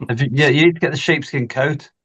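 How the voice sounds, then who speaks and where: A man's voice: on the high side, fast talker, a bit bright, no echo. A man chatting casually as a football podcast panellist. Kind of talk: casual chat. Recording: dull, lo-fi microphone sound.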